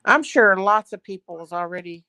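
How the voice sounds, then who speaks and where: A woman's voice: medium pitch, expressive, normal speed, pushed and bright, no echo. Woman hosting a livestream. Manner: reads viewer comments aloud, greets viewers by name. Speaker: livestream host. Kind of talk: casual livestream chat reading comments.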